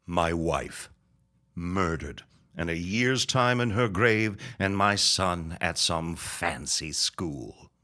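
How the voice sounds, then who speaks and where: Guy playing bitter old dying reverend, real low and slow, scornful and grand, period audio drama. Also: animated, pushed and bright, exaggerated voice. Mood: bitter, weary. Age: older. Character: bitter, vengeful, near-death old reverend and landowner father.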